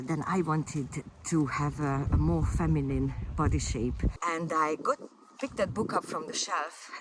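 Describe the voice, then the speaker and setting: A woman's voice: deep voice, noisy background. A Hungarian woman, a fitness coach, talking casually and unscripted to camera, with frequent fillers and restarts. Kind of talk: casual personal vlog to camera.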